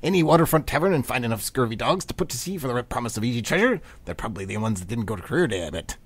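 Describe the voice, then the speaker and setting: A man acts out a cocky student with pirate dreams in a comic radio play. He talks fast and eagerly, swooping up and down in a slightly husky voice. The delivery is boastful and theatrical. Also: mid-range voice, big pitch swings, dry recording. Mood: cocky enthusiasm. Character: cocky, excitable student who dreams of piracy.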